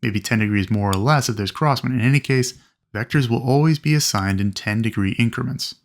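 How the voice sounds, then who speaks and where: Creaky-voiced man giving methodical instruction. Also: mid-range voice, brisk. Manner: clear, methodical explaining. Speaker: flight instructor presenting a training video. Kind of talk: instructional narration with acted radio calls.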